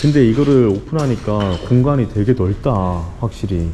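Male vlog host, mid-pitched, casual on-site chat, handheld camera sound with noticeable background noise. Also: normal speed. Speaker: vlog host and business owner. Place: indoor shop under renovation and offices.

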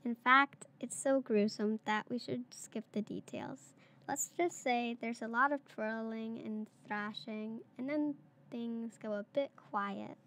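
Little girl around 8 reading a picture book out loud, highish voice. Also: takes it slowly. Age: child, around eight or nine. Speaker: third-grade pupil reading aloud.

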